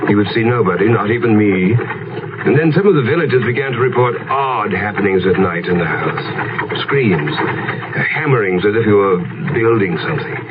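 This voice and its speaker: A man acting a grave, deferential village vicar in a gothic radio drama, speaking in a solemn, formal, measured way. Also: on the low side, lively intonation, close to an everyday voice. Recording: muffled, tinny, heavy noise behind.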